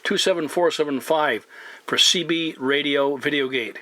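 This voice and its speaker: A man's voice: on the high side, normal speed, background noise. A man working as a CB radio operator and host, making formal, announcer-like contact calls with call signs, place names and phonetic spelling. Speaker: CB radio operator hosting a video channel.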